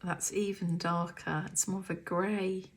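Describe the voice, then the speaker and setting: A woman with a croaky throat from the cold, casually talking through her paints as she swatches them, often half-whispering and musing aloud. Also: on the low side, level tone, measured pace, faint music behind. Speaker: artist demonstrating paints. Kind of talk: casual craft tutorial chat. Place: home art studio.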